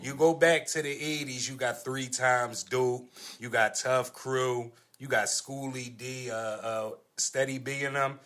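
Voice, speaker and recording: A man's voice: mid-range voice, fairly even intonation, a little slow, a bit bright. A man hosting a casual panel discussion, talking in slangy, argumentative phrases that he repeats for emphasis. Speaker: podcast host.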